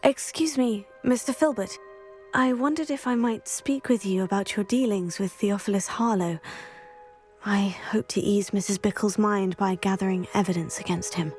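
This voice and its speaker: A woman's voice: mid-range voice, fairly even intonation, normal speed, a little mellow, soft background music, lightly acted. A woman playing an earnest, composed teenage student witch in a video game, with a British accent. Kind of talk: video game voice acting, scripted dialogue.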